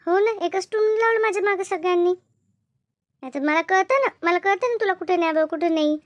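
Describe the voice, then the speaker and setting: A woman's voice: very high-pitched, a little fast, dry recording, muffled recording. A woman voicing a chatty, bossy yet easily hurt village woman in a cartoon comedy, with rustic, repetitive Marathi talk in a rural Maharashtra accent. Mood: hurt, explaining.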